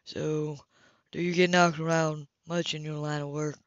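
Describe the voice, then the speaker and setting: A woman's voice: low-pitched, level tone, measured pace, dry recording, voice pushed down. A woman reading the part of a hot-tempered, possessive male lover, direct and seductive, in a romance audiobook. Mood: casual, concerned. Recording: dull lo-fi home recording.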